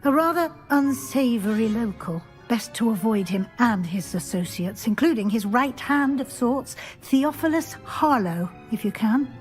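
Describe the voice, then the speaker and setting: A woman playing a brisk, watchful professor at a wizard school in a video game, speaking formally and matter-of-factly. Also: on the high side, animated, faint music behind. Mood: brisk, cautioning. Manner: formal, crisp, matter-of-fact. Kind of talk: scripted video game acting.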